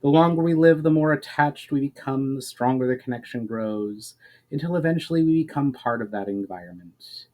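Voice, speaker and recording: A man voicing a grave, ancient elf in a fantasy storybook reading, speaking in a measured, formal, explanatory way. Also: medium pitch, a little mellow, natural voice. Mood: solemn.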